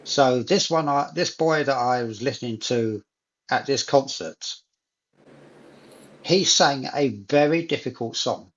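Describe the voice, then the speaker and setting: A man's voice: medium pitch, unhurried, muffled recording. A man in a casual chat, talking enthusiastically and repeating phrases for emphasis.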